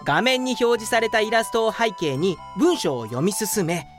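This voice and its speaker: A woman's voice: medium pitch, very animated, normal speed, music in the background, natural voice. A woman playing an earnest, delusional young male office geek in a comedy radio drama, polite yet pompous and theatrical. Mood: deadpan, self-important.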